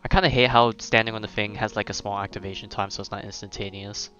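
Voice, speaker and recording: A man's voice: on the low side, fairly even intonation, quick pace, pushed and bright. A young man chatting casually and thinking aloud as he commentates on a game he is streaming live. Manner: rambling, conversational, thinking aloud. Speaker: game livestreamer. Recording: slightly dull mic over game music. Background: game music.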